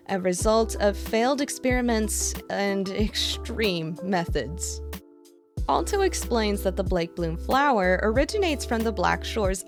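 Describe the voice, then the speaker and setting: Woman narrating dramatically over clear music. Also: medium pitch. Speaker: video game lore YouTuber and narrator. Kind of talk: scripted narration of a game story recap.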